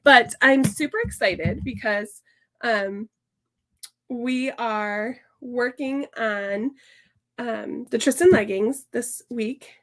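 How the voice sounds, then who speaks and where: A woman hosting a casual sewing tutorial, her voice hoarse and stuffy from a sinus infection, chatting upbeat with lots of fillers and restarts. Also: a little high, slow, a bit bright. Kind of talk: casual sew-along tutorial chat.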